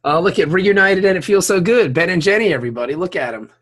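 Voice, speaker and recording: A man's voice: high-pitched, quick pace, fairly bright tone. A man hosting a casual podcast, bantering in an effusive, teasing way with plenty of swearing. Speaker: podcast host.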